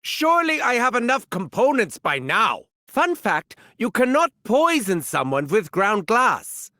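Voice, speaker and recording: Manic German mad scientist, wildly swooping pitch. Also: male voice, wildly swooping pitch, a little slow, sharp tone, no room sound, studio quality, full character voice. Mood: excited. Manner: pompous, theatrical, gleefully sinister. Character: arrogant, unhinged male German mad scientist doctor. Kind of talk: video game character voice lines.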